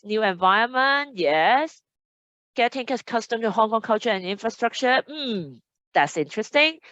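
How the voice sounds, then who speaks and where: A woman's voice: mid-range voice, a bit bright, no room sound, muffled recording. A woman hosting an online admissions webinar as a casual chat, upbeat and chatty as she reads out audience comments. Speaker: admissions host. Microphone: video call.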